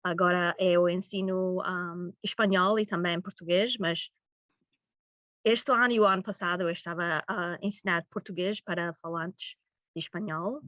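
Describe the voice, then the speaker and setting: A woman's voice: on the low side, steady tone, a bit bright, no room sound. Lady teacher, middle aged, stop-and-start talk w words mixed in, dull dry webinar sound. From Massachusetts, United States. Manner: halting, mixes in English words. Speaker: high school language teacher presenting. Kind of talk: informal webinar presentation. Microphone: video call. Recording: muffled lo-fi webinar audio.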